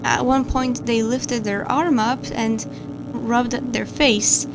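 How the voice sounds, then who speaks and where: A woman's voice: on the high side, fairly even intonation, slow pace, noisy background. A woman playing an anxious, guilt-ridden watcher of camera feeds, who stammers and hesitates as she narrates a horror log over music.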